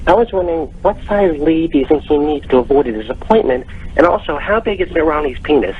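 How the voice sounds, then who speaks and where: Male caller, lightish pitch, formal courtesy, dull phone sound with faint noise. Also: expressive, quick pace. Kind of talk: prank call posing as a political question.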